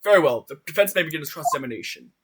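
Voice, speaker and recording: A man's voice: a little high, expressive, rapid-fire, very noisy, close to an everyday voice. A man voicing a stern, formal elderly judge in a video game fan dub, in a solemn pronouncing manner.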